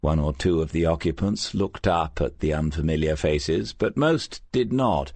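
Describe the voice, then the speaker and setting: A man reading a crime novel as scripted narration in a measured, unhurried storytelling manner. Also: low voice, a little mellow, no echo. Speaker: audiobook narrator.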